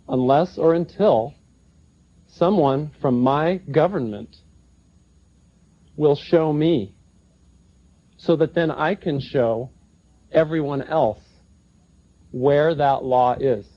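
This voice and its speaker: A man answering as a seminar speaker in a measured, earnest way. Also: fairly high pitch. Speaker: former federal agent speaking at a seminar. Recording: muffled lo-fi broadcast recording.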